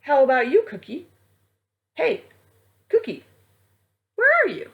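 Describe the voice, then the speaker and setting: A woman voicing small, hopeful snack characters in a storybook with expressive dialogue. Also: high-pitched, measured pace, vocal fry, muffled recording, voice pushed up, full character voice. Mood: worried searching. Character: female cartoonish snack characters, cheese doodle and pretzel, small and hopeful.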